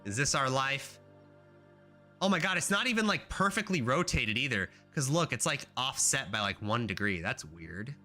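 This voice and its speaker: A young man live-streaming while he codes, talking loosely to his chat and swearing freely. His voice is bright and lively, jumping up high when he gets excited. Faint music plays underneath. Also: normal speed.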